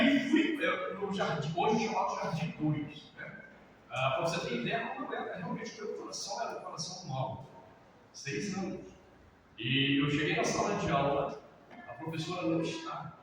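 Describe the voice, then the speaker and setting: Middle-aged man, pastor, lively storyteller; distant mic in a reverberant hall with noise behind. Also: high voice. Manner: lively storyteller, acts out quotes, laughs. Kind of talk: sermon-style talk with personal stories. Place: large church hall.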